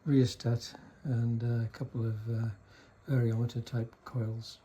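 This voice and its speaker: A man with a deeply mellow, muted tone talks through a piece of restored equipment. His delivery is unscripted and low-key, with halting technical explanations at a medium pitch. The recording carries a faint layer of noise. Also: flat delivery. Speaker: vintage radio restorer demonstrating a set. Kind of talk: casual unscripted demonstration.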